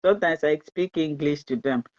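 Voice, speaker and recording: A woman's voice: low voice, moderate pace, a little mellow. A Nigerian woman whose first language is Igbo, hosting a live-stream fertility talk in a chatty, repetitive way and slipping into pidgin. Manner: chatty, repeats herself, mixes in pidgin, laughs often. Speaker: fertility channel host. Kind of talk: live-stream advice talk, reading viewer comments. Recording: dull lo-fi live-stream audio.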